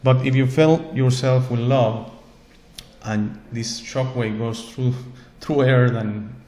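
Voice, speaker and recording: A man's voice: medium pitch, steady tone, slow pace, mellow. A man who is not a native English speaker hosts his own show, giving an earnest, rambling spiritual talk full of repeated words and checks like "okay?". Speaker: host. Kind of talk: spiritual monologue to camera. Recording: echoey room with faint ambient noise.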